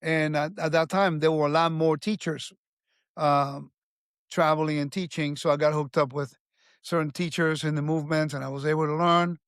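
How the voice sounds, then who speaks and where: A man in his fifties from Puerto Rico speaks with a slightly raised pitch, recounting his life in an earnest, halting way. The sound is close and dry, with no room around it. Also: flat delivery, fairly bright tone, dry recording. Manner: earnest, with frequent restarts and fillers. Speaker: guest. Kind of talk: conversational life story.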